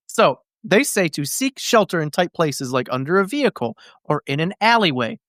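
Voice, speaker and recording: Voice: mid-range voice, very animated, moderate pace, a bit bright, no echo, clean studio sound. A podcast host recapping things in a casual, comedic way, with slangy, profane, jokey storytelling.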